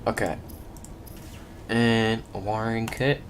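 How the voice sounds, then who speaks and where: A man with a fairly low voice talks casually through a video game, reading on-screen text aloud and muttering asides to himself. He trips over the odd word as he reads, and the recording has clear noise behind him. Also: level tone, takes it slowly. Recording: clearly noisy recording with background hum.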